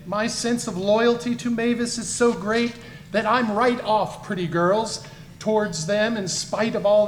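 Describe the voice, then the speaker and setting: A man playing an earnest, chivalrous young gentleman who speaks in polite, quaint upper-class slang in a dramatised story reading. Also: sits high. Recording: echoey room with faint noise.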